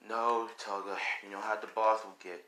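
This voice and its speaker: A man voicing a bored, irritable male villain with a grumbling deadpan, in amateur fan-fiction storytelling. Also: lowish voice, moderate pace. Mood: bored annoyance.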